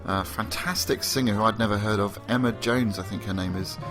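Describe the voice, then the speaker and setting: A man hosting a podcast, talking casually and enthusiastically with lots of fillers, a bit sleepy and croaky. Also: on the low side, fairly even intonation, brisk, music in the background. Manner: rambling, enthusiastic, frequent fillers. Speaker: podcast host.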